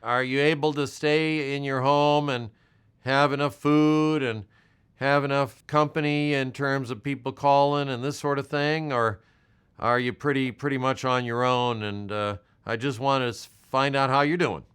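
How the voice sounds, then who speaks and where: An older American man with a smooth, clear voice of medium pitch. He speaks as a volunteer on a supportive check-in call, gently and haltingly, offering reassurance. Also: steady tone. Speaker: health department volunteer caller.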